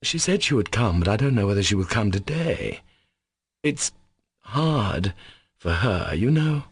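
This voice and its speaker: Guy doing a shy gentle young russian man, soft and unsure. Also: on the low side, sing-song, measured pace, slightly rough, no room sound, full character voice. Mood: hesitant. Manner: soft, hesitant.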